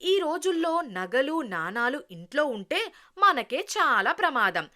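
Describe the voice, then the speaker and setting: Clever, calm trickster husband in a children's storybook read by a woman, high and bright, deliberate. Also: exaggerated voice. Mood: feigned worry. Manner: deliberate, meant to be overheard. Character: clever, calm man scheming to fool eavesdropping thieves.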